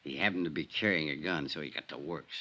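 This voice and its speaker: A crooked insurance adjuster in 1950s radio drama style. The voice is deep but forceful and bright. He talks in folksy tough-guy slang, with a sardonic, falsely genial air that covers a killer. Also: fast, highs cut off, full character voice. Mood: smug, wheedling.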